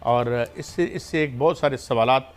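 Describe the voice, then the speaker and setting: A man giving measured spoken commentary on the news. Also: medium pitch.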